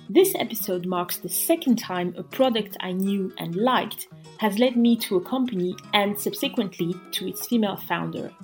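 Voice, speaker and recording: A woman hosts an interview podcast in a mid-pitched voice, with some music behind her. She speaks in the style of a presenter introducing and questioning guests. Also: a little mellow.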